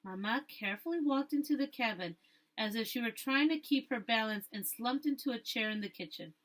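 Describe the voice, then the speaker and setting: A woman teacher reading a storybook aloud to pupils and pausing to ask them questions. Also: a little high, a bit bright, poor audio.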